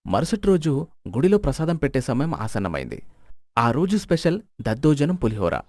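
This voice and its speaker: A man's voice: medium pitch, sing-song, normal speed, darkish tone, no echo, clean studio sound. A man narrating a scripted moral story as its storyteller.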